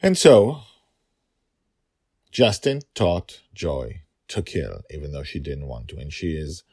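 A middle-aged man narrating a story podcast as its host and author, deliberate, with dramatic pauses and emphatic repetition. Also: extremely low pitch, wildly swooping pitch, darkish tone.

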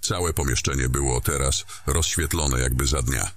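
Extremely deep-voiced male fantasy narrator. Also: a little hiss or hum. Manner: descriptive, deliberate storytelling. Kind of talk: scripted fantasy story narration.

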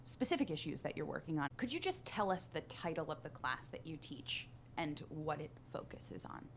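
A woman's voice: deep voice, big pitch swings, normal speed, a little hiss or hum. A woman hosting a podcast interview, asking questions in an upbeat, inviting way. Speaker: podcast host.

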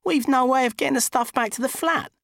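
Man playing a whiny, high-voiced, indignant best mate. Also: creaky, fairly bright tone, dry recording, studio quality, full character voice. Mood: whining. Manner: whining, protesting, quick to take offence. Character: sulky, indignant, dim young male best mate, cocky. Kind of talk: comic audiobook dialogue.

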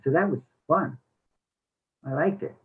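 Guy commenting on a play reading, raspy, sounds like hes on a phone line. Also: fairly high pitch, measured pace. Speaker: play reading participant giving feedback. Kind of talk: casual critique.

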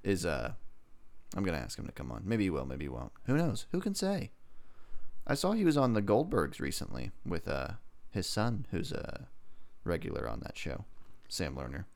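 A man hosting a podcast in casual, joking banter, teasing in a dry, deadpan way. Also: deep voice, normal speed. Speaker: podcast host.

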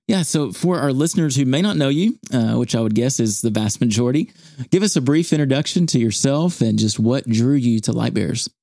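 Guy hosting a ministry podcast in a studio, mellow dry voice, measured and earnest. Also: mid-range voice, animated, creaky, no echo. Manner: measured, with earnest churchy phrasing. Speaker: podcast host, campus ministry staff.

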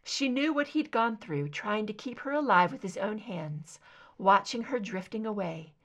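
A woman's voice: medium pitch, expressive, moderate pace, fairly bright tone. A woman reading a scripted story aloud as an amateur audiobook narrator.

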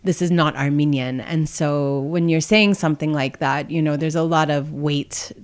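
Donna dal tono grave che spiega con calma. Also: female voice, low-pitched, moderate pace. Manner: thoughtful, explanatory, many fillers and restarts. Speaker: author and music scholar. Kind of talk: academic interview.

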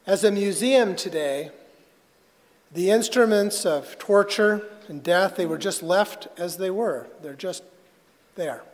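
A man preaching a sermon as a pastor, telling a personal story earnestly and haltingly, with dramatic pauses. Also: high voice. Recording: reverberant hall.

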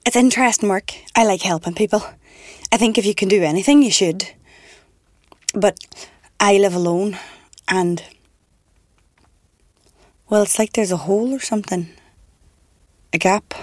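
A woman's voice: medium pitch, moderate pace, long pauses, no echo, studio quality, natural voice. An Irish woman acting in a radio drama as a shy, lonely, apologetic nurse with a hesitant manner. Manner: hesitant, self-deprecating.

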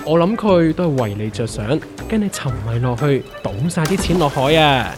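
A woman's voice: low-pitched, lively intonation, normal speed, music in the background. A woman with a Hong Kong Cantonese accent playing a blunt, teasing co-worker in a comedic audio drama, given to mock-serious exaggeration.

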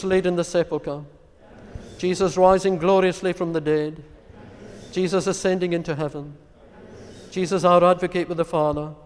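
A man with a fairly high-pitched voice speaks as a priest in a large, reverberant space. His delivery is solemn and reverent, in measured devotional phrases. Long reverb trails behind his words. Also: darkish tone. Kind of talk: solemn sermon and recited litany prayers.

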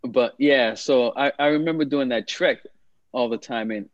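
A man's voice: medium pitch, moderate pace, no room sound. Middle-aged man from Haiti, easygoing interview guest, dry and slightly dull recording. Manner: relaxed, chatty, repeats words while thinking. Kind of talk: casual storytelling interview.

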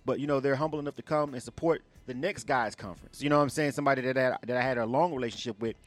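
A man's voice: mid-range voice, rapid-fire. A man hosting a casual radio interview, talking colloquially and often checking that the listener follows.

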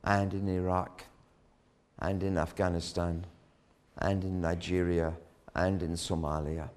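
Man, preacher, sermon, dramatic swells, unhurried. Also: flat delivery. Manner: dramatic, swells loud on key phrases then drops low. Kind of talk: sermon storytelling.

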